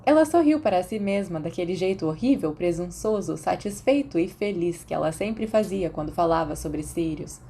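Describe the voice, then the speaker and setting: A woman's voice: lowish voice, lively intonation, normal speed, few pauses, dark tone, slight background noise. A woman with a Brazilian accent reading scripted fiction as an audiobook narrator.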